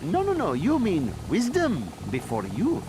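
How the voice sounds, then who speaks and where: A man's voice: high voice, huge pitch swings, measured pace, noisy background, heavily acted. A man voicing a proud, crotchety elderly animal thief in a video game, bossy and dry as he bickers. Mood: grumpy.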